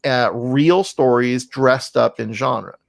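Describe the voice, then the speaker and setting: A man with a mid-pitched, gravelly creak in his voice hosts a casual interview. He talks effusively and emphatically, rambling from thought to thought. The sound is very dry. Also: slow, no room sound. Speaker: podcast host and interviewer. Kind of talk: casual, enthusiastic interview chat.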